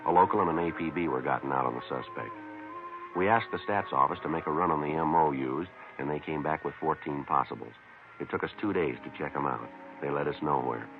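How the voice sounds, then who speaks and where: An actor playing a terse, businesslike police detective who narrates his case in a clipped, deadpan, matter-of-fact way, in 1950s radio drama style. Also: rumbling low, brisk, over music. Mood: deadpan narrating. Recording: lo-fi noisy 1950s radio recording.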